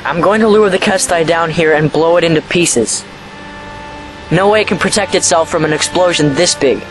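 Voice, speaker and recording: A man's voice: a little high, normal speed, a bit bright, faint music behind, lightly acted, rough recording. A performer tagged male playing a troubled, defiant, guilt-ridden young boy, stubborn and earnest, in an audio drama. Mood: defiant, bitter. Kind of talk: fan audio drama acting.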